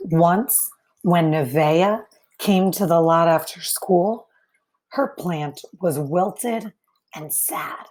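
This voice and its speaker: Very slow-paced woman reading a storybook aloud. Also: on the low side, darkish tone. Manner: deliberate phrasing with many pauses between short phrases. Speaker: teacher reading a picture book aloud to children. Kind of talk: read-aloud storytelling with brief asides to young listeners.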